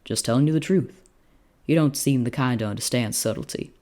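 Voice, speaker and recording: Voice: mid-range voice, fairly quick, a little mellow, lightly acted. A reader voicing a kindly, exasperated frontier doctor in a story, plainspoken and folksy. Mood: wry.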